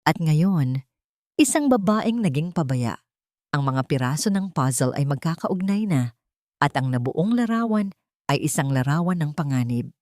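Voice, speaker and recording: A woman reading a scripted audio drama in an emotive, deliberate storytelling manner. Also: deep voice, expressive, darkish tone, no echo, studio quality.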